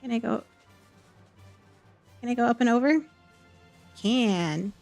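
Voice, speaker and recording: A woman's voice: a little high, slow pace, a little mellow. A woman live streamer giving casual, playful commentary on a game, muttering to herself in short remarks. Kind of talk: casual gameplay commentary, reading game dialogue aloud. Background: background music.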